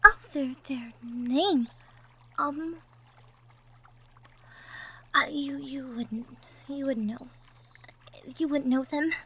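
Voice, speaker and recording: A woman playing a shy, sweet young cottagecore woman in love, speaking softly and hesitantly in an intimate roleplay audio drama. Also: sits high, extremely slow, long pauses, heavily acted. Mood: flustered, bashful. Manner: hesitant, bashful, soft-spoken, trailing off. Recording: faint background noise, birdsong effects. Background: birdsong, outdoor nature sound effects.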